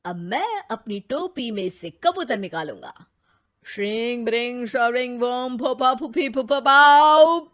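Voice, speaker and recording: Female performer as cartoon magician: theatrical, incantatory, measured pace. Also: on the high side, lively intonation, a bit bright. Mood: showy. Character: theatrical male stage magician chanting nonsense spells.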